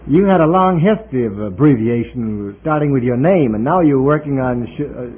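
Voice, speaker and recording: A man's voice: medium pitch, expressive, fairly quick. A man interviewing conversationally on the radio, asking wordy questions and interjecting attentively. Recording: noisy, tinny 1950s radio interview recording. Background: clear hiss and noise.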